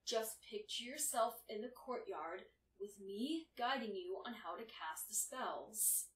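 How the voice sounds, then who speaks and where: A woman's voice: fairly high pitch, expressive, a little slow, a bit bright. A woman voicing a mildly stern, reassuring female unicorn mentor of magic, firm and confident, in an audio fan-fiction reading. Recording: roomy, distant mic with faint noise.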